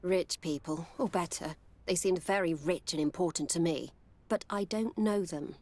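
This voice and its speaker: A woman's voice: lowish voice, normal speed, a little mellow, lightly acted. Woman as a guarded, world-wise game courtesan. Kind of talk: video game voice acting.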